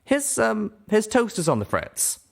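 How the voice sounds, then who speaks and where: A man's voice: sits high, wildly swooping pitch, a little slow, creaky, pitched above the performer's own voice, full character voice. A man voicing a young female pony heroine, earnest, curious and awkward, in a storybook reading.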